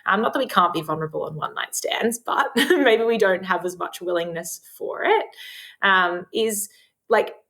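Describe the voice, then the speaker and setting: Woman guest, sex therapist; loud voice, slightly low pitch, frank and chatty. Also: moderate pace, vocal fry. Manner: frank, open about sex, filler-heavy "like". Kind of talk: casual podcast conversation.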